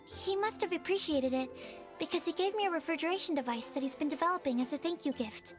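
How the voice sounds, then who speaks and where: A woman playing an earnest, shy young female alchemist in a video game, polite and bookish with a soft-spoken, hesitant manner. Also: extremely high pitch, quick pace. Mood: helpful. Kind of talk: video game cutscene dialogue. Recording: faint music behind the voice.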